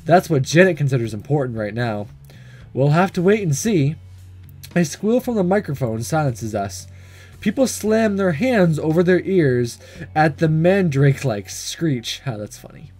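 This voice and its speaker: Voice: medium pitch, sing-song, measured pace, darkish tone. A let's player reading a visual novel aloud in narration, breaking off for casual off-the-cuff reactions, over game music. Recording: music under the voice.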